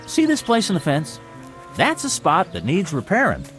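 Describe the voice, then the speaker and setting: An actor playing a folksy, coaxing gatekeeper of the afterlife in a vintage TV drama, with a rural Southern American accent. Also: male voice, high-pitched, wildly swooping pitch, fairly bright tone, soft background music, exaggerated voice. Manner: folksy, coaxing, drawn-out phrasing. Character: folksy, wheedling male gatekeeper of a false heaven. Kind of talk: vintage television drama acting.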